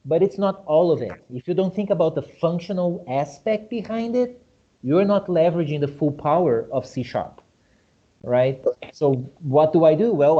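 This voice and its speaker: A man with a Brazilian Portuguese accent talks on a video call, his voice sitting a little high for a man but dark and mellow in tone. He explains his views with lively rhetorical questions. The call audio is dull and low-fidelity. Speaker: host. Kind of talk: casual discussion.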